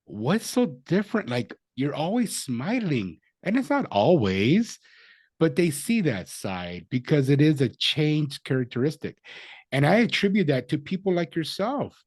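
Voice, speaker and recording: A man hosting a casual interview, speaking in a halting way and restarting his sentences. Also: on the high side, very animated, a little mellow, no room sound, clean studio sound. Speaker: interview host.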